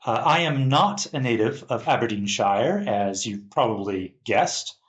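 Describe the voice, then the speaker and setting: A man's voice: mid-range voice, animated, a little slow. A man from the US Pacific Northwest hosting a podcast and introducing his colleagues. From Pacific Northwest, United States. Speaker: podcast host.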